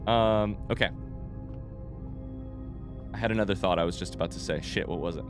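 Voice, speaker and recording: A man's voice: sits low, normal speed, music in the background. A man doing casual video game commentary, thinking aloud in a rambling, informal way, his voice a bit under the weather. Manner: rambling, informal, mild swearing. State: voice affected by being sick. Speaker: video game streamer playing blind.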